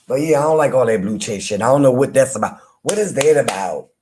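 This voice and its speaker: A man with a deep voice, hosting a live chat room and teasing rivals in loose, playful banter with frequent laughter. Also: animated, fast talker, darkish tone, slight background noise. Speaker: live audio-room host. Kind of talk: casual trash-talking chat to listeners.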